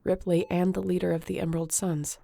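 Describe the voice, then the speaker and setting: A woman playing a tired, tender, worried young woman in an intimate sci-fi audio roleplay, speaking softly and earnestly. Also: fairly low pitch, flat delivery, a little fast, creaky.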